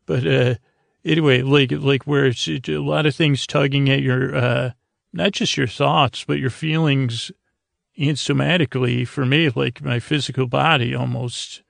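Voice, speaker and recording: Gravelly, creaky man rambling at bedtime. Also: medium pitch, fairly even intonation, a bit bright, dry recording. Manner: meandering, halting, self-deprecating, trails off mid-thought. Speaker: podcast host. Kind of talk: rambling bedtime podcast monologue, casual.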